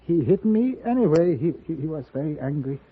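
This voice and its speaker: An actor playing a kindly, earnest elderly German gunsmith in a 1950s Western radio drama, speaking with a German accent. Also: male voice, on the high side, big pitch swings, brisk, slightly rough. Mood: earnest, grateful. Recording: muffled phone-like old radio recording.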